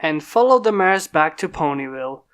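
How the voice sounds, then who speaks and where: A woman's voice: deep voice, animated, normal speed. A woman steadily narrating a fan story aloud. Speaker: fan fiction reader.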